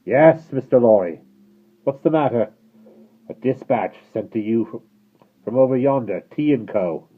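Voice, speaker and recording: A man doing a hoarse, slow-calling messenger. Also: mid-range voice, expressive, voice pushed up, close to an everyday voice. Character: hoarse mounted messenger man, shouting from afar. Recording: tinny phone-like sound.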